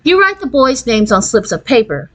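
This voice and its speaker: A trendy, confident young girl from a children's storybook, played by a woman reader. She talks breezily, casually showing off, with a raised, girlish pitch. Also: big pitch swings, normal speed, fairly bright tone. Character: trendy, self-assured thirteen-ish Californian girl, show-off.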